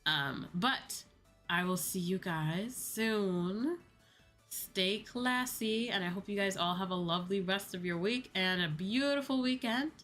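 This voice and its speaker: A woman's voice: mid-range voice, unhurried, a bit bright, soft background music. A young adult woman chatting casually on a livestream, informal and chatty, often repeating her phrases. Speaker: VTuber live streamer.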